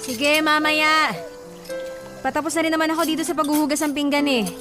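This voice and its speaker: Radio drama wife: actress plays very high-pitched, worn-down mother, pleading and protesting, over a clear music bed. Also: steady tone, brisk, natural voice. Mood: weary, busy. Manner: pleading, protesting, tearful under pressure. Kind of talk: Filipino radio drama acting. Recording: background music under the voice.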